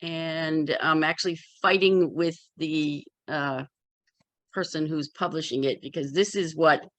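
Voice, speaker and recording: A woman's voice: low-pitched, steady tone, a little slow, dry recording. A woman chatting casually in a group as an author, with a candid, wry way of talking. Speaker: memoir author in a community discussion.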